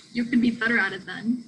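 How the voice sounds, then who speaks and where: A fairly high-pitched young South American woman student voiced by an actress in a naturalistic play reading. She is blunt and playfully crude, rambling in casual slang and swearing freely, with some background noise on the recording. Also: close to an everyday voice, poor audio.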